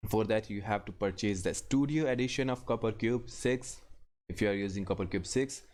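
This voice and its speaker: Halting non-native man, low voice. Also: a little mellow. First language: not English. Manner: halting, self-deprecating, frequent restarts and chuckles. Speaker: software tutorial creator. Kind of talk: casual screen-recorded tutorial.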